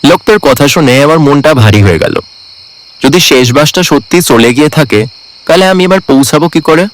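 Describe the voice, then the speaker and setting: A man playing an anxious lost traveller who tells his own ghost story in a cartoon, expressive and worried. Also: a little high, normal speed, lightly acted, low-quality recording. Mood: uneasy. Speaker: narrator and main character. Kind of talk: dramatised horror cartoon storytelling in first person.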